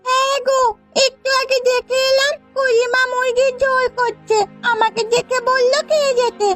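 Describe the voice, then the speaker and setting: A woman voicing an excitable little village girl, an eager tattletale in a cartoon folk tale. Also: extremely high pitch, moderate pace, soft background music. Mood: eager tattling.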